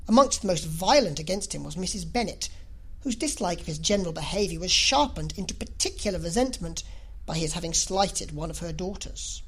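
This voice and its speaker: A woman's voice: on the low side, expressive, fairly quick, slightly rough, low-quality recording. A woman reading a classic novel as an audiobook narrator, in a scripted literary style.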